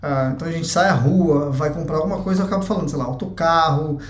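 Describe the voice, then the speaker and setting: A man's voice: fairly high pitch, fast. A young Brazilian man with a Brazilian Portuguese accent, giving his opinion in a blunt, chatty vlog and swearing freely. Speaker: vlogger. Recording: echoey room.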